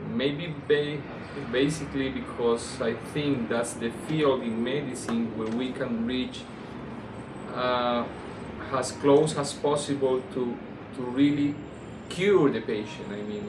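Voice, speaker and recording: A man's voice: medium pitch, steady tone, unhurried. Colombian-accented man, distant in a roomy space. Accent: Colombian Spanish. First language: Spanish. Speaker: orthopedic surgeon being interviewed. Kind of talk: unscripted interview answers. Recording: roomy, distant mic, air-conditioner hum.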